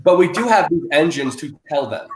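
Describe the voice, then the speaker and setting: A loud man hosts a live-stream chat about animal rescue and races through his thoughts, restarting sentences again and again. His voice sits at an ordinary middle pitch. It is picked up in a roomy, echoing space with a faint hiss behind it. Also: animated. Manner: rapid rambling with many restarts and fillers; loud, self-described loud person. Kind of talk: casual live-stream interview.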